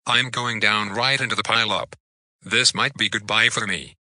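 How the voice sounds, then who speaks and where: A man's voice: lowish voice, fairly even intonation, normal speed, bright, forceful tone, dry recording. A man playing a trapped driver facing death in an amateur disaster drama, speaking urgently. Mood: desperate farewell.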